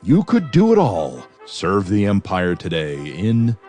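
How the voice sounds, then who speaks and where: A man's voice: sits low, huge pitch swings, measured pace, a little mellow. A man reading a scripted recruitment advert as a promotional announcer. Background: faint music.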